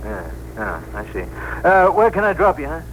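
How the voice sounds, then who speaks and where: Older cabbie, good-humored and gabby, very animated delivery, vintage radio play with light noise. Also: male voice, fairly high pitch, normal speed. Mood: amused, chatty. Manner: colloquial, blustery, chatty. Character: talkative, good-humored old cab driver. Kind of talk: 1960s radio drama acting. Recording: noisy vintage radio broadcast recording.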